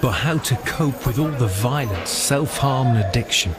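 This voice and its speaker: A man narrating a documentary in a measured, matter-of-fact way. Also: mid-range voice, lively intonation, over music. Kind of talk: scripted narration.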